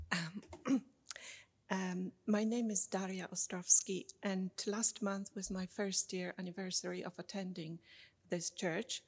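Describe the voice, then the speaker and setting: A middle-aged woman from Poland, giving a measured, formal prepared reading to a church congregation as lay reader, slowing down carefully over names and dates. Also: fairly low pitch, husky. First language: Polish. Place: church sanctuary. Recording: long church reverb.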